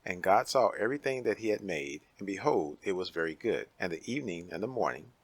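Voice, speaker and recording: A low-voiced man with a husky edge narrates scripture dramatically. His delivery is solemn and declamatory, recorded close and dry. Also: animated, fairly quick, no room sound.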